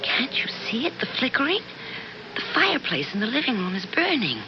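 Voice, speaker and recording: Anxious American wife, swooping pitch. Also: female voice, brisk. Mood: urgent. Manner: excitable, urgent, insistent. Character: anxious, insistent wife haunted by strange voices and visions. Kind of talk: 1970s radio drama acting. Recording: dull, noisy 1970s radio recording.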